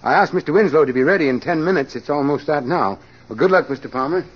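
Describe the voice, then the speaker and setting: A man's voice: on the high side, lively intonation, fast talker, husky. A man playing a courteous, formal psychology professor in 1940s radio drama style. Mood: politely brisk. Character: polite, unhurried male psychology professor. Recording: dull old radio recording.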